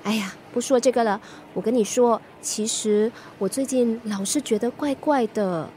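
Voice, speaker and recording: An actress playing a stubborn, jumpy young student with a Singaporean accent, complaining expressively in a radio drama. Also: female voice, a little high, moderate pace, dark tone, a little hiss or hum, lightly acted. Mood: weary, grumbling. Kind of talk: radio drama acting, conversational.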